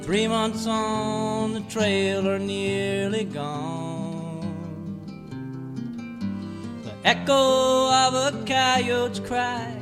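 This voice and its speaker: A man performing as a gentle, lonesome singing cowboy, crooning a western campfire ballad. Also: sits high, little pitch movement, extremely slow. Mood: wistful. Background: acoustic guitar.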